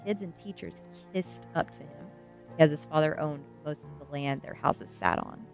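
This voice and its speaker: A woman reading a first-person story aloud in an ASMR style, narrating with expressive, dramatic emphasis. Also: deep voice, moderate pace, soft background music. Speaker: streamer reading a novel aloud.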